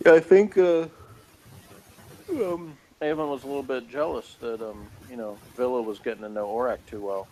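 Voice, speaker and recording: A man's voice: mid-range voice, moderate pace, warm tone, a little hiss or hum, rough recording. A man giving a casual review in conversation, blunt and dryly sarcastic, rambling as he talks. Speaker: guest reviewer of a TV episode.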